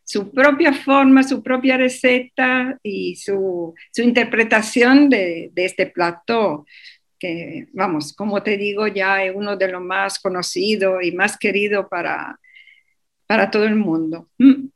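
A woman's voice: mid-range voice, measured pace. A woman guest on a radio interview, explaining at length with many hesitations and restarts. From Europe.

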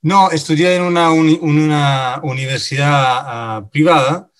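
A man from Italy, a native Italian speaker in his thirties, talking as an interview guest, often pausing and restarting his sentences. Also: a little high, steady tone, fairly bright tone. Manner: halting, self-correcting, many fillers and restarts. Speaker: interview guest, finance director. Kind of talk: casual interview talk.

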